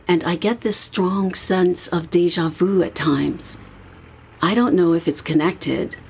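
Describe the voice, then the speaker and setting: A young woman talks in a fairly low voice, casual and reflective. It is naturalistic audio drama with light noise behind, like a car. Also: a little mellow, rough recording. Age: young adult. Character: uneasy young woman haunted by vivid dreams.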